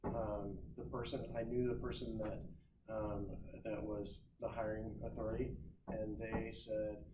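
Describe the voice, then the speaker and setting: A man talks to a class as a visiting recruiter, loose and chatty, stopping often to restart his sentences. He is picked up by a mic well away from him, so the voice rings around the room. Steady background noise sits under the speech. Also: lowish voice, measured pace. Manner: loose and conversational, many ums and restarts. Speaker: guest speaker, recruiter. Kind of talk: classroom talk giving career advice. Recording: roomy, distant mic, noisy.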